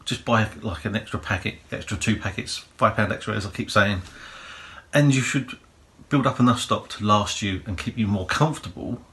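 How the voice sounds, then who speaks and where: A man with a slightly low, croaky voice talks through practical preparation tips in a loose, rambling way. He hosts his own prepping channel, and his casual delivery leans on fillers and "you know" as he explains. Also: normal speed, fairly bright tone. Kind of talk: casual advice monologue.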